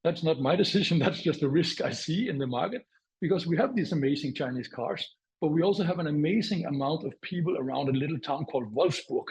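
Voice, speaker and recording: A man's voice: on the high side, animated, brisk, darkish tone, breathy edge. A man from Denmark, a portfolio manager giving market commentary on a webinar over a video call, thoughtful and prone to restarting phrases, with light laughs. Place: home or office, remote.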